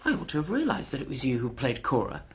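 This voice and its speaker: A man's voice: mid-range voice, wildly swooping pitch, fast. An actor playing a breezy, observant male relative in a radio mystery drama. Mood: knowing, casual. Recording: muffled, slightly noisy radio drama recording.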